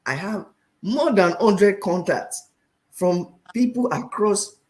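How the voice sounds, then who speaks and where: Nigerian man, interview guest, finance teacher, fairly high voice, animated and repetitive. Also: slow pace. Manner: animated, repeats phrases for emphasis. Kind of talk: interview talk.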